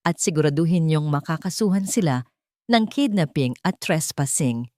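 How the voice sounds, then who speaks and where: Woman acting an imperious, sneering rich wife, swooping pitch. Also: animated, normal speed, a little mellow, no room sound, studio quality. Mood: cold command. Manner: imperious, mocking. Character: cold scheming wealthy wife, commanding and sneering.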